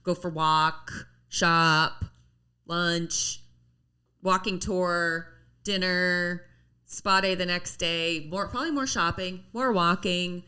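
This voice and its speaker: A woman's voice: lowish voice, takes it slowly, pushed and bright, clear, resonant tone. A woman about to turn fifty co-hosting a casual podcast, blunt and candid, laughing easily. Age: 40s. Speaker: podcast co-host. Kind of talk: casual chat.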